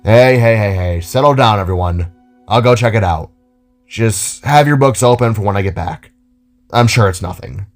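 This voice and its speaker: A man's voice: on the low side, normal speed, faint music behind, lightly acted. A man voicing an older, kindly and enthusiastic robot teacher with a theatrical, explanatory way of talking. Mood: calming. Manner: showy, explanatory.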